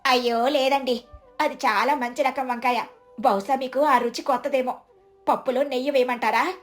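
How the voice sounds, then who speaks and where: A woman's voice: high voice, fairly even intonation, moderate pace, soft background music, lightly acted. A woman voicing a stingy, deferential village wife who makes excuses in a pleading, apologetic way in a moral story. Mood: deferential, evasive. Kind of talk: dramatised Telugu moral story acting.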